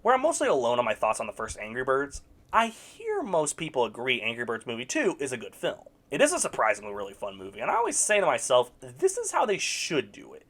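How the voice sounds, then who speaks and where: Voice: mid-range voice, wildly swooping pitch, quick pace, fairly bright tone. A movie reviewer giving a casual, opinionated critique, sarcastic and blunt, with occasional swearing.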